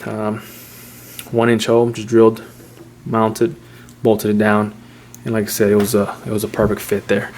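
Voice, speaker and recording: A man giving a casual, unscripted how-to in an informal, halting, repetitive way, like a hobbyist vlogger. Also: fairly low pitch, monotone, a little mellow, a little hiss or hum. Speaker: vlogger showing a DIY car install. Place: in and around a Jeep.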